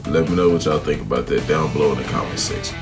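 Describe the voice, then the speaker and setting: A man's voice: very deep voice, fast talker, over music. A man with a Texan accent hosting a reaction video, talking casually to his viewers in a laid-back way. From Texas.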